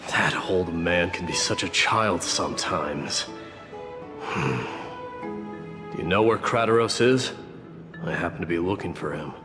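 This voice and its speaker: An actor playing a proud, stern crown prince in a video game, speaking in a formal, measured, commanding way. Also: male voice, low voice, long reverb tail, over music. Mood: reserved concern.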